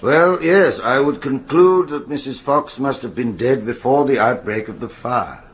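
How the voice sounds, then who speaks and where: An actor playing an authoritative British forensic pathologist, speaking in a formal, clinical, assured way in a radio courtroom drama. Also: male voice, mid-range voice, unhurried, close to an everyday voice.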